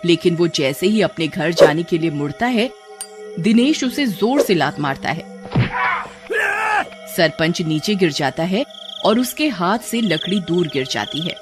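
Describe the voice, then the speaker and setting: A storyteller narrates a spooky cartoon tale with lively, wide-ranging intonation. Clear music plays underneath the voice, and the pitch sits at a middle level. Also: measured pace. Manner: animated storytelling.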